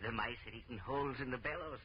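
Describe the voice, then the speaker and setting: An actor playing a friendly, plain-spoken village organ builder in an old-time radio drama. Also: male voice, mid-range voice, fast talker, lightly acted. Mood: businesslike, friendly. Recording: muffled, noisy old radio recording.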